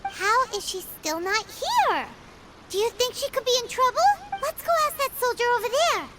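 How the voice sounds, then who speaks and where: A woman voicing a tiny, chirpy companion creature in a video game, squeaky and eager, blurting out questions. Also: very animated, normal speed, sharp tone, slight background noise, exaggerated voice. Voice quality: squeaky, bouncy. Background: game music.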